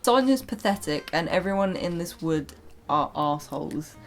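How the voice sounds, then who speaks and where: A woman's voice: on the low side, expressive, normal speed, faint music behind. A young British woman reading her own childhood story aloud with casual, playful, self-mocking commentary. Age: young adult. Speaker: vlogger.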